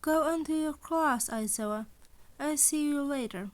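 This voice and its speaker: A woman's voice: high-pitched, a little slow, voice pushed up. A woman voicing a cunning, smug male rat who is a school principal, in a teasing sing-song for a story. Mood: smug, menacing.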